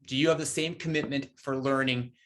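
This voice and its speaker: A man's voice: medium pitch, steady tone, fairly quick, a bit bright. Man co-presenting a tutoring workshop. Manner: explanatory, poses questions to the audience. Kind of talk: online business workshop talk.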